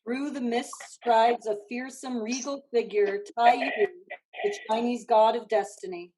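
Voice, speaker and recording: A woman reading stage directions as measured, scripted narration for a staged play reading. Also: a little high, steady tone, background noise.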